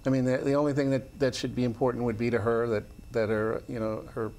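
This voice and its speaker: A man on a studio panel, giving measured, thoughtful opinions with frequent "you know". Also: medium pitch, flat delivery, a little mellow. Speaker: panel guest.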